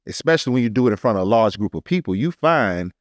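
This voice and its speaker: A man's voice: lowish voice, lively intonation, fast talker, no echo, studio quality. A man with an American accent telling a personal story in a casual, earnest and emphatic podcast style. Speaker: podcast speaker, artist telling his story.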